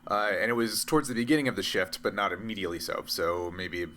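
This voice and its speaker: A man's voice: on the low side, fast, fairly bright tone, soft background music. A man improvising narration as game master of a tabletop role-playing game.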